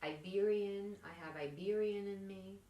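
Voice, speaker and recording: A woman's voice: mid-range voice, measured pace, echoey room, distant mic, highs cut off. A woman with a New York accent, a guest on a podcast, talking bluntly and teasing in casual chat. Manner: blunt, teasing, matter-of-fact. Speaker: podcast guest, energy healer.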